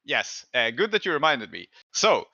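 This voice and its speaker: A man's voice: medium pitch, very animated, moderate pace, creaky, pushed and bright, no room sound, dull audio. A man narrating and refereeing an improvised tabletop roleplaying game as game master.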